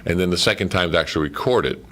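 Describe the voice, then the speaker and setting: Male talk guest, very deep register, conversational storyteller with frequent asides. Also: rapid-fire, sharp tone. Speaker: guest, amateur radio play actor. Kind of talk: casual anecdotal studio chat.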